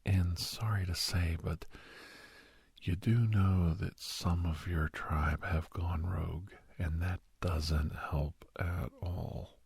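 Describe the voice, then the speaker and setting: A man playing a calm, earnest doctor counseling a young werewolf in an ASMR role-play, speaking in a hushed, breathy, often whispered voice with a slow, reassuring manner. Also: low voice, no echo, full character voice. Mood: sober, warning.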